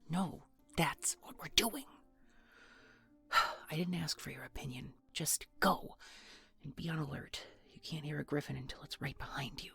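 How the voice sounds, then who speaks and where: A woman playing a bossy, irritable rescuer on a stealth mission in an audio roleplay, speaking in a hushed, terse, impatient way. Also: sits low, vocal fry, breathy edge, heavily acted. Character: bossy, irritable rescuer sneaking through a griffin palace.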